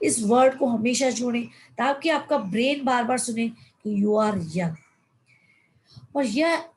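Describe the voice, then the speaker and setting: A woman's voice: fairly high pitch, gravelly, darkish tone, a little hiss or hum, low-quality recording. A woman in her fifties, a life coach, leading a motivational online session. She reads passages aloud and then explains them, often calling her listeners friends and mixing in English words. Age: 50s.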